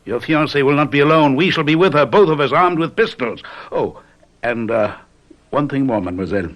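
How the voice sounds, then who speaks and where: A man's voice: medium pitch, expressive, a little fast, dull audio, close to an everyday voice. Guy playing a cocky theatrical detective in a radio mystery, urbane and emphatic, really swoopy delivery. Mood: assured, sly. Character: confident, theatrical male detective lecturing his companions. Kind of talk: radio mystery drama acting.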